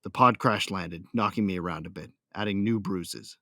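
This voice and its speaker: A man's voice: low-pitched, moderate pace, sharp tone, dry recording, studio quality. A man playing a hot-tempered warrior who narrates his own battles in an audio drama, intense and sardonic. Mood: tense, brooding.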